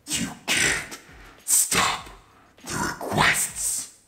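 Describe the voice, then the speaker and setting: A man voicing a menacing speedster villain in a low whisper, pitched down with reverb and doubled. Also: drawn-out words, sharp tone, heavily acted. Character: demonic deep-voiced male speedster villain, menacing. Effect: pitched down with reverb and doubled track. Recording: echoey processed voice over music.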